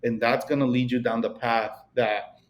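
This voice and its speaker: A man's voice: mid-range voice, steady tone, moderate pace. A middle-aged man with a Mexican accent, a podcast guest talking casually and rambling, with many "you know" and "like" fillers. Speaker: podcast guest, real estate broker. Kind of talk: casual interview conversation.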